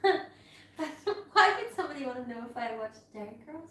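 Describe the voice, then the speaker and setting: A woman's voice: sits high, moderate pace. A woman in her forties who grew up in Africa, chatting casually on a livestream and laughing often. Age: 40s. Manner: giggly, animated, laughs often. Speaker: co-host partner. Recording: echoey room, distant from mic, faint noise.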